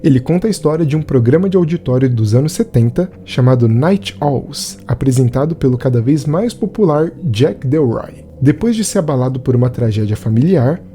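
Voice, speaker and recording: A man's voice: medium pitch, lively intonation, a little fast, few pauses, warm tone, a little hiss or hum. A man with a Brazilian accent giving a conversational scripted narration as a film reviewer. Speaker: video essayist and film reviewer.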